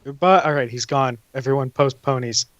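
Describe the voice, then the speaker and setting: A man's voice: medium pitch, brisk, fairly bright tone, no echo, lo-fi sound. A man hosting a casual podcast pre-show, joking and wisecracking, speaking through a gaming headset from home. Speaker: podcast host. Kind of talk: casual chat.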